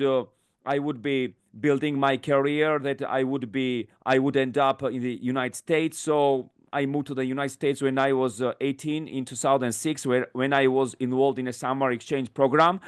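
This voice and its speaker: This is a man in his thirties whose first language is Georgian, answering interview questions in English. His voice is smooth and resonant at an ordinary pitch. He speaks readily, though often broken by fillers and restarts. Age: 30s. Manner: fluent but halting, many fillers and restarts. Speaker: guest. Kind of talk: podcast interview.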